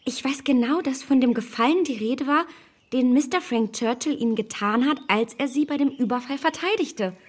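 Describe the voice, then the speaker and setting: A woman playing a self-assured young gentlewoman in a radio drama, formal and emphatic, shaken but keeping control. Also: high-pitched, normal speed. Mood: controlled, insistent. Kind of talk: German radio drama acting.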